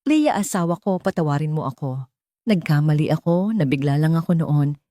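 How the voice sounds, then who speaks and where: A woman's voice: sits low, animated, normal speed, warm tone, no echo, studio quality. A woman voicing a disgraced husband who begs melodramatically for forgiveness in an audio story. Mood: pleading. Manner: pleading, melodramatic. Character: disgraced husband begging forgiveness with fake theatrical tears.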